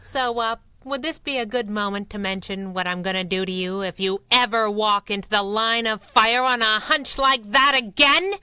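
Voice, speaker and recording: An actress playing a sassy, affectionately tough crime-fighting sidekick in a 1930s-style radio drama, teasing and slangy. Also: female voice, mid-range voice, normal speed, hardly any pauses, bright, forceful tone, clean tone, no room sound, close to an everyday voice. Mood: wry, scolding.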